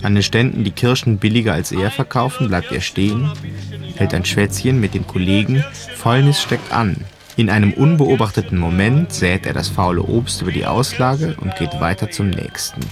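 A man's voice: fairly low pitch, animated, normal speed. A man reading scripted radio documentary narration in terse, clipped phrases. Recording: music playing behind the voice. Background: music.

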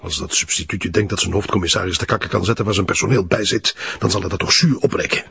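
An actor playing a touchy, self-important middle-aged police chief with a Flemish accent, indignant and pompous, hesitant when challenged. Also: male voice, low-pitched, quick pace, creaky, fairly bright tone, faint music behind. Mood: scornful. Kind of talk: audio drama acting.

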